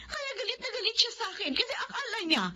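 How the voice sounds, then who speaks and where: A woman's voice: extremely high pitch, expressive, fast talker, pushed and bright, slight background noise, heavily acted. A woman acting an anguished, protective mother in a Filipino radio drama, pleading and emphatic, with a Filipino accent. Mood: anguished, insistent. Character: anguished, protective, self-sacrificing mother, separated from husband.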